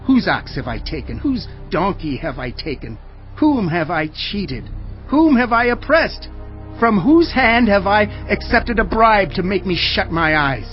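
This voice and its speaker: An actor playing an old gray prophet, solemn and emphatic, declaiming in a dramatized audio Bible. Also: male voice, high-pitched, big pitch swings, a little slow, muffled recording, soft background music. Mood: solemn, challenging. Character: old gray prophet, stern, solemn leader addressing his people.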